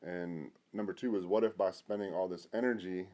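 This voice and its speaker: A middle-aged man, a pastor, hosting an informal conversational interview in a halting way. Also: low-pitched, steady tone, dark tone. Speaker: host, pastor.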